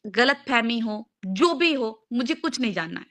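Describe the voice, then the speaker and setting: High, bright-voiced young woman pleading. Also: sing-song, moderate pace. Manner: earnest, insistent, repetitive pleading. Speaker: live stream host. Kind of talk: casual live chat, pleading mediation.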